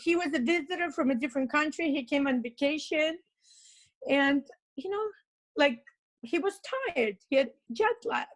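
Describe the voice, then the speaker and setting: A woman's voice: high-pitched, animated, moderate pace, low-quality recording. A woman in her fifties with a Russian accent, a guest telling her personal story in an emotional, upbeat way. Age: 50s. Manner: emotional, upbeat, uses "like" and "you know" a lot. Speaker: interview guest.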